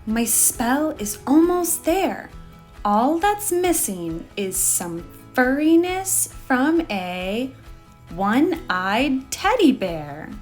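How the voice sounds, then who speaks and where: A woman's voice: sits high, sing-song, extremely slow, soft background music, voice pushed up, exaggerated voice. A woman voicing a little witchling girl in a storybook, wistful and timid but eager, with dramatic delivery. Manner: dramatic, exclaiming and sighing.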